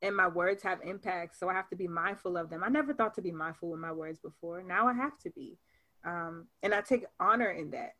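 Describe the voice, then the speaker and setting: A woman in her twenties, a podcast guest, telling her story in a lively, animated way, peppered with "like". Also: fairly low pitch, a little fast. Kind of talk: casual chat.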